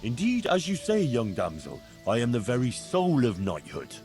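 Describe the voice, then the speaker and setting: An actor playing a good-natured young medieval blacksmith's son in love, earnest and playfully gallant, in video game dialogue. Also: male voice, medium pitch, wildly swooping pitch, moderate pace, darkish tone, soft background music. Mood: mock-gallant. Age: young adult.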